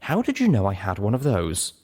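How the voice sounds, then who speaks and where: A man's voice: lowish voice, huge pitch swings, a little fast, darkish tone, breathy edge, full character voice. A man voicing a teasing, affectionate adult female pony in a story, with a playful manner. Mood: curious.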